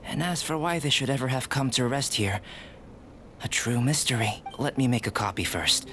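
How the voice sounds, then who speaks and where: A calm, inquisitive young alchemist from an anime fantasy game, voiced by a man. His mid-range voice is measured, polite and precise, like a scholar reporting findings, with clear music in the background. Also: mid-range voice, close to an everyday voice. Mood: intrigued.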